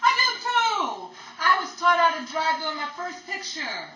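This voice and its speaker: Flighty, haughty young starlet played by a woman, very swoopy high voice, 1940s radio comedy, echoey room. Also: sing-song, normal speed, sharp tone, recorded from a distance, full character voice. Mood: defensive. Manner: theatrical, exclamatory. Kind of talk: 1940s old time radio comedy acting. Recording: echoey room, away from mic.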